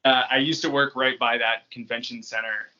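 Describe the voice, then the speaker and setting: A man's voice: mid-range voice, fairly even intonation, normal speed, bright, forceful tone, dull audio. An American man from New England chatting casually as an interview guest, in an easygoing, rambling way. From New England, United States. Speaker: podcast creator, interview guest.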